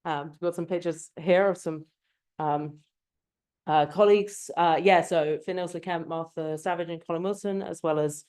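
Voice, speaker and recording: A low-pitched woman from England gives an academic seminar talk. She speaks hesitantly and fills her pauses with ums and uhs. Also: steady tone, darkish tone, clean tone. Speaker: guest speaker, postdoctoral researcher.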